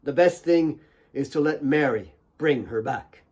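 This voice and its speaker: A grave male doctor acted by an audiobook narrator speaks haltingly. He sounds troubled and indignant while working to keep himself composed. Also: fairly high pitch, a little mellow, slightly rough. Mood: grave, upset. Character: troubled male doctor, upset yet trying to stay composed.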